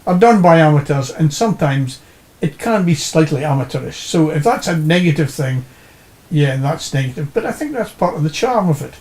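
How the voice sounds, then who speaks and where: Interviewee: man, slightly high but mellow voice, casual conversational delivery. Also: animated, moderate pace.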